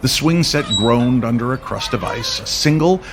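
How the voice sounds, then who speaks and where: A man's voice: mid-range voice, animated, normal speed, background noise. A man reading dramatic scripted narration for a story.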